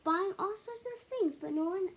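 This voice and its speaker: A woman reading a children's picture book aloud in an expressive storytelling style. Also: very high-pitched, normal speed. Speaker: story reader.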